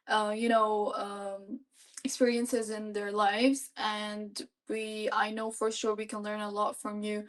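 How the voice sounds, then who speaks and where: Young woman, podcast guest, casual chat, fillers, slightly high voice. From Palestine.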